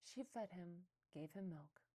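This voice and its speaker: A woman reads a children's storybook aloud. Her voice is low for a woman, with a soft, mellow tone. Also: lively intonation, unhurried. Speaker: storybook reader.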